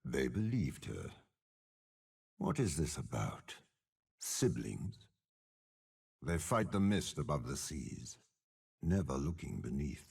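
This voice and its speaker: A menacing old general from a game, super deep n gravelly, talks slow, sneering but swings around dramatically. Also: male voice, big pitch swings, darkish tone, exaggerated voice. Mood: cold, ominous. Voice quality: gravelly, husky. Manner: slow, deliberate, disdainful. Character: commanding, menacing, scheming old male warlord general. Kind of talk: dramatic game character voice lines.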